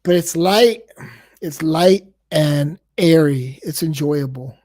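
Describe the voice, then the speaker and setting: A man's voice: on the high side, slow pace, a little mellow. A man hosting a casual livestream, rambling and repeating words, and he says he stutters. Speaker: livestream host.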